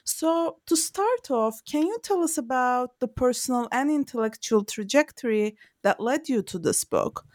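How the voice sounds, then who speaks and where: Woman, podcast host, higher voice, polite, measured, scripted, dry sound. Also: animated, no room sound. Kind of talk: scripted interview introduction.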